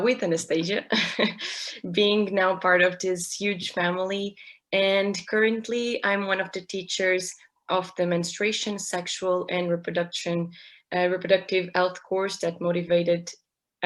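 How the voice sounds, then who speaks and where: Young woman, podcast guest, hesitant, lots of fillers, lowish voice, flat intonation. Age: young adult. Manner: hesitant, many fillers and restarts. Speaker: podcast guest, health educator. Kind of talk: casual interview talk.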